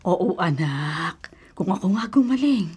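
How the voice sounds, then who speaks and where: An actress playing a caring, reassuring woman in a family radio drama, speaking gently and earnestly with a Filipino accent. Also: lowish voice, animated, unhurried, breathy edge, full character voice. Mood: tender. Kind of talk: Tagalog radio drama acting.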